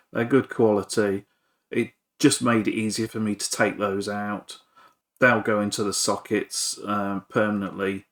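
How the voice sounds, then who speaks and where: A man giving an informal, hesitant, rambling commentary on a repair at his workbench, with a self-effacing manner. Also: on the low side. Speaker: hobbyist electronics repairer and video presenter.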